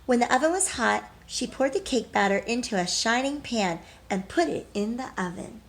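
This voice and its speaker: A woman teacher reading a storybook aloud expressively, pausing to put questions to young listeners. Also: a little high, animated.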